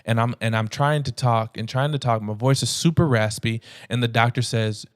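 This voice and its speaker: An earnest young man tells his own story on a podcast, talking casually and catching himself mid-sentence. His voice is smooth and resonant, recorded close and dry with no room sound. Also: mid-range voice, moderate pace, no echo. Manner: earnest, conversational, animated retelling with frequent restarts. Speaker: podcast host. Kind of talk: personal storytelling.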